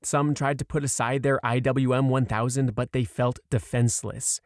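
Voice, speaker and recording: A man narrating a short story aloud in a measured, clearly read storytelling delivery. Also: medium pitch, darkish tone, no echo, studio quality.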